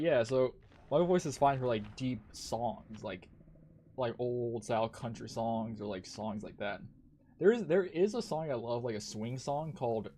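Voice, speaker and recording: A man's voice: medium pitch, dark tone, a little hiss or hum. A man chatting casually while gaming on a stream, rambling, swearing a lot and laughing often.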